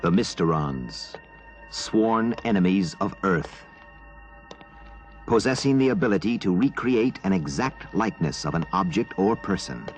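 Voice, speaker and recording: Grave, low sci-fi announcer. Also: male voice, expressive, soft background music. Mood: ominous. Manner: portentous, deliberate phrasing. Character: grave male announcer of a sci-fi puppet series. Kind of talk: 1960s television title narration.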